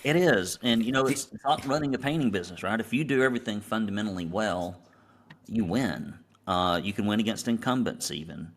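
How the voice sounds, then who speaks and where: A middle-aged man with a Southern American accent and a low, deep voice. He speaks as a business coach being interviewed, in a conversational storytelling style. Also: animated, fairly quick. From Alabama, United States. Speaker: guest, business coach and painting academy founder.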